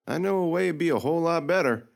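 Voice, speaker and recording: A man voicing a defiant, sharp-tongued young girl with one arm, speaking in a cheeky, needling way. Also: fairly high pitch, lively intonation, fast talker, gravelly, voice pushed up.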